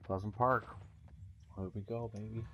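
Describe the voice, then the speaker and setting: A man's voice: fairly low pitch, fairly even intonation, normal speed, heavy background noise. A man chatting casually in slang as he games with his squad. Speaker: gamer playing squad online shooter. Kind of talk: casual gaming chat.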